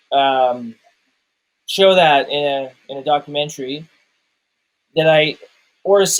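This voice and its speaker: Casting: man, slightly high voice, informal podcast talker, halting, self-restarting delivery. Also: rough recording. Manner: halting, repeats words as he searches for phrasing. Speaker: podcast guest reviewer, documentary maker. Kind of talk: casual personal chat.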